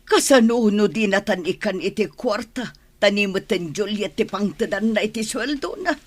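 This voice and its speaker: A woman's voice: mid-range voice, moderate pace, lightly acted. A woman with an Ilocano accent playing a scheming, money-minded middle-aged mother in a radio drama. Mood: gossipy, grasping.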